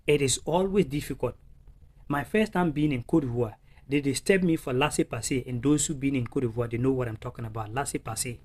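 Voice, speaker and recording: Male channel host, earnest and urging, repetitive, filler-heavy, mid-pitched. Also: a little fast, darkish tone. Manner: earnest, urging, repeats phrases with many fillers. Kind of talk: impassioned political commentary.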